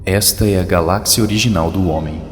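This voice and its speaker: A man playing a vast, calm, all-knowing computer in an audio drama, speaking in a measured, impersonal way. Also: deep voice, soft background music, natural voice. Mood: impassive.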